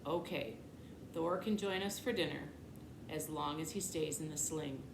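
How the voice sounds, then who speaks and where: Woman reading as a patient, firm mother, echoey. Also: low voice. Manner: measured, gently correcting. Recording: echoey room, clear background noise.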